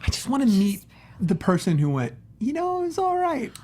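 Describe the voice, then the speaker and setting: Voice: mid-range voice, huge pitch swings, moderate pace, darkish tone. A co-host in a casual film review discussion, talking emphatically in broken, repeated phrases.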